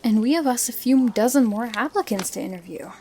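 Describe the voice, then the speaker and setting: A woman's voice: fairly high pitch, expressive, a little fast, noisy background, lightly acted. A woman playing a tactful, wry adventurer interviewing recruits in a fantasy audio drama.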